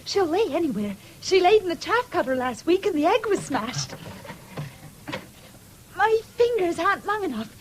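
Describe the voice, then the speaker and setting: Very high-voiced, teasing country girl. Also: sing-song, moderate pace, long pauses, rough recording. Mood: playful. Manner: coaxing, playful. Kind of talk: radio drama acting. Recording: faintly hissy 1970s radio recording with clicks.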